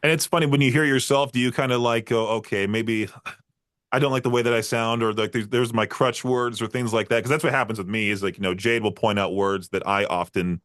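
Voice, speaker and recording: A man's voice: mid-range voice, fairly even intonation, brisk, a bit bright, dry recording, studio quality. A man hosting a podcast, telling long stories in a casual, rambling way with lots of fillers. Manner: rambling storyteller, frequent fillers and laughs. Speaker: podcast host. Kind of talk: casual interview chat.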